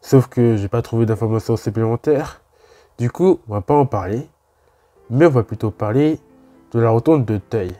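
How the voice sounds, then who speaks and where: Very dark-voiced French man explaining history. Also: mid-range voice, moderate pace, soft background music. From France. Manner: chatty, with informal asides to the viewer. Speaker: host of a railway history channel. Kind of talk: casual explanatory narration.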